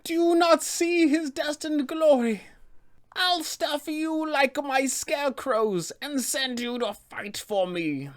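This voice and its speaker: A man voicing an ancient, fanatical witch from a fantasy roleplaying game, speaking in slow, theatrical, drawn-out phrases. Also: extremely high pitch, pitched above the performer's own voice. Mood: berating, threatening. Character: ancient wicked witch, 400 years old, fanatically devoted, menacing.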